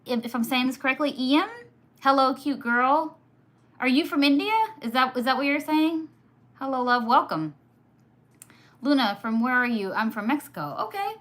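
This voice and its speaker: A woman from Sudan whose first language was Arabic, hosting a casual live stream, chatty and quick to laugh while reading comments. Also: high voice, fairly bright tone. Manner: chatty, laughs often, teasing. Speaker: livestream host.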